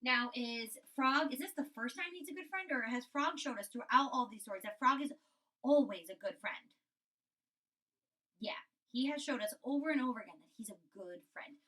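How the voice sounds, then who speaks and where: Woman, elementary teacher, bright clear-cut tone, explanatory, frequent checking questions, slightly distant from the mic. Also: fairly high pitch, lively intonation, moderate pace. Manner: explanatory, often checks with "right?". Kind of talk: reading lesson for young children.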